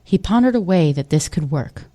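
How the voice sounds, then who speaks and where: Woman narrator, slightly low and mellow. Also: expressive, moderate pace. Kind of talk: scripted story narration.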